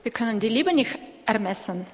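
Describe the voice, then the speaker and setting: A woman with a fairly high voice interprets a sermon live, one phrase at a time. Her delivery is a little halting, with small self-corrections, and the church hall adds long reverb. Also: animated.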